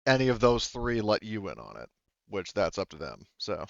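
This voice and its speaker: A man's voice: lowish voice, very animated, normal speed, creaky, no echo, lo-fi sound. A man acting as game master in a casual online tabletop roleplaying discussion, explaining things with frequent asides.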